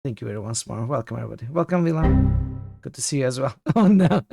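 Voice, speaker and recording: A hoarse man in his home streaming setup talks casually to his viewers, rambling and halting as he thinks aloud. His voice sits at an ordinary pitch, and the room is dry, with close sound and no echo. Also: lively intonation, mellow, faint music behind. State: hoarse from illness, losing his voice. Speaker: game streamer. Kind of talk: casual livestream chat.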